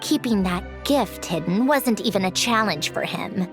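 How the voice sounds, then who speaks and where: A woman's voice: mid-range voice, lively intonation, normal speed, music in the background, lightly acted. A woman voicing a proud, sardonic female puppet inventor in a video game cutscene, explaining things in a dry, cool, slightly haughty way. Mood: bitter.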